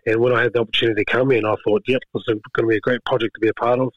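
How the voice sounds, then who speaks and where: A man's voice: mid-range voice, very fast, no echo. Easygoing New man, dull lo-fi sound. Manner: relaxed, repeats words while searching for thoughts. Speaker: guest, rugby skills coach. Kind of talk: casual interview chat.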